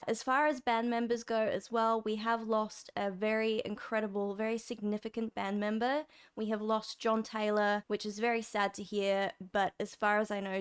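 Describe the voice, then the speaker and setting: A young woman hosting a music reaction channel, chatting brightly to camera in a slightly croaky voice. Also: medium pitch. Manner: bubbly, enthusiastic. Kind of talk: casual chat to camera.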